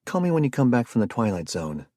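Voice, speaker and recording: A man voicing an exasperated, sharp-tongued young woman who scolds impatiently. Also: mid-range voice, big pitch swings, fast, no echo, studio quality, pitched above the performer's own voice.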